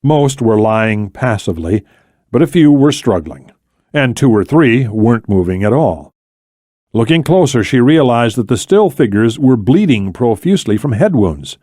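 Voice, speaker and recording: Male narrator for thriller fiction: medium register, measured delivery, dramatic pauses, very little room sound. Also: medium pitch, big pitch swings, warm tone, no echo, studio quality. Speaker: audiobook narrator. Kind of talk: scripted thriller novel narration.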